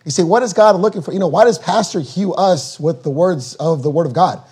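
A man preaching a sermon while losing his voice, reading scripture slowly and deliberately, then explaining it in plain words. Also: high voice, mellow. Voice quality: husky. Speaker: guest preacher.

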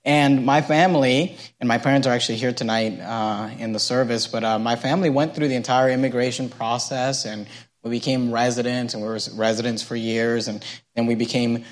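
A man in his thirties preaching an evening sermon at a church service, halting often with fillers and repeated words. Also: medium pitch, steady tone. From United States. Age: 30s.

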